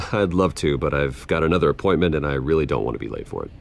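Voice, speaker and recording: An actor playing a grim, stoic vigilante detective in a video game, speaking in terse, controlled, gravelly lines. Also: male voice, extremely low pitch, animated, fast, heavily acted. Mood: wry, evasive. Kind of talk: cinematic video game acting. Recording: heavy ambient noise behind voice.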